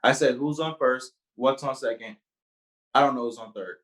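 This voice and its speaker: A performer playing an emphatic straight man from a 1940s radio comedy. He is a matter-of-fact ball club coach who answers briskly and insists on every point. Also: mid-range voice, fairly bright tone, natural voice. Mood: emphatic, insistent.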